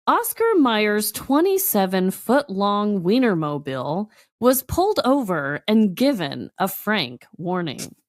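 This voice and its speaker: A woman's voice: mid-range voice, no room sound, clean studio sound. An American woman co-hosting a podcast, chatting casually and reading articles aloud in a giggly, conversational way. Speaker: podcast co-host.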